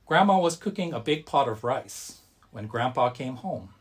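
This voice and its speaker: A man with a husky, mid-pitched voice in the role of storyteller at a family religious service. His delivery is very animated, with wide swings of intonation and simple, friendly phrasing. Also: moderate pace. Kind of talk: children's storytelling and friendly address to congregation.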